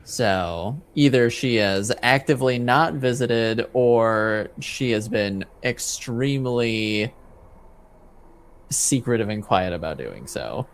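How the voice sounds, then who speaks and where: A man running a tabletop roleplaying game as game master, improvising narration and often halting to search for his words. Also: medium pitch, lively intonation.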